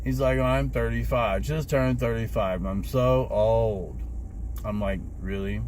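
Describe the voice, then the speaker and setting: A man's voice: medium pitch, unhurried, darkish tone. A man of fifty telling a rambling story to his viewers, with plenty of fillers, and acting out the voices of the people he quotes. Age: 50s. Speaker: rideshare driver vlogger. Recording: noisy street and traffic background.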